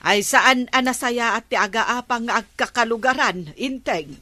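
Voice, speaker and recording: A woman acting a concerned, gently chiding village woman in an Ilocano radio comedy drama, with an Ilocano accent and drawn-out interjections. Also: fairly high pitch, dry recording.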